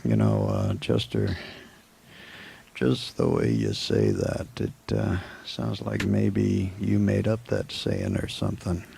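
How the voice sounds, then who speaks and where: Low dark gravelly western lawman, american, calm and laconic, radio drama. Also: takes it slowly, full character voice. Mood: amused, easygoing. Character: calm, laconic, stoic Old West lawman. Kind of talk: old-time radio western acting. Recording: faint background noise on old recording.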